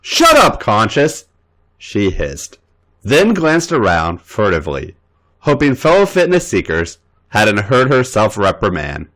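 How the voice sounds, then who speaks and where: A man's voice: fairly low pitch, very animated, measured pace, a bit bright. A man reading erotic fan fiction aloud as a podcast host, playful and theatrical.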